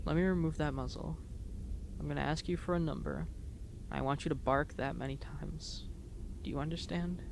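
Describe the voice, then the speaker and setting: Noisy recording, woman acting as an earnest young huntress in an audio roleplay, crackly voice, halting n emotional. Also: on the low side, vocal fry. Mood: anxious pleading. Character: emotional young huntress reunited with her lost friend. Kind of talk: dramatic roleplay audio monologue. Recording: noticeable background noise.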